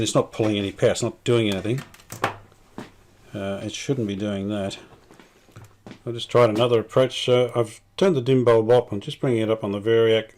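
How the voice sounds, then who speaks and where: A middle-aged man, talking casually while he tests and repairs an old radio in his workshop, thinking aloud with many pauses and restarts. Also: on the low side, darkish tone. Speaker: radio restorer, channel host. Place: home workshop bench.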